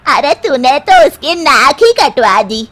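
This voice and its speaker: Woman playing cartoon mother-in-law, older, bossy, nagging, very high voice, slow delivery. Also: big pitch swings, a bit bright, exaggerated voice. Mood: scolding, aggrieved. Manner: scolding, demanding, complaining. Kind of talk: cartoon moral story voice acting.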